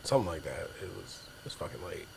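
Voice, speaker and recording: A man's voice: deep voice, very animated, fast, gravelly, background noise. A man chatting casually on a livestream in an informal, offhand way. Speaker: livestream co-host reading chat. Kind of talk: casual chat.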